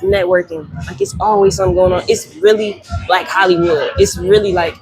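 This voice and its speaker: A woman's voice: lowish voice, steady tone, fairly quick, a little mellow, slightly rough. Laid-back young woman, slangy. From Buffalo, New York, East Side. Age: young adult. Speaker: guest artist and performer. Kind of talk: casual interview chat.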